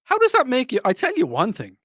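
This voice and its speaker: A man's voice: very high voice, wildly swooping pitch, fast, a bit bright, breathy edge, no room sound, studio quality. An Irish man hosting a talk radio show, rambling and repeating himself with a mocking tone and frequent laughs. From Ireland. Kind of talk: casual talk radio monologue.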